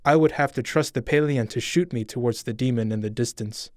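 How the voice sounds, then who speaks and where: A young man reading a first-person audiobook story in a slow, measured, brooding way. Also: medium pitch, no room sound, studio quality. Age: young adult. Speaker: narrator.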